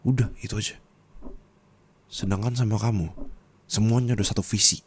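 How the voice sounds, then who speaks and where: A young man voicing an angry, heartbroken young man who scolds his partner, swinging from shouting to low bitter muttering, in an ASMR roleplay. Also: steady tone, fairly quick, slight background noise, natural voice. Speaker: roleplay voice actor.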